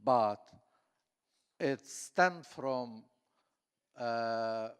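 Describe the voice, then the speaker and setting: Slow, halting man. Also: medium pitch, fairly bright tone, clear, resonant tone. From southeast Turkey. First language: Kurdish. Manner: halting, many fillers and restarts. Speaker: conference panelist. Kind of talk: conference panel talk. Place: conference hall. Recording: echoey conference hall.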